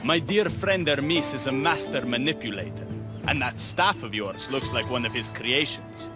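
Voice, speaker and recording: An actor playing a wry, lovelorn young rebel in a video game, speaking in a flowery, sardonic way. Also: male voice, medium pitch, expressive, moderate pace, over music, natural voice. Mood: conspiratorial. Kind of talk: video game cutscene acting.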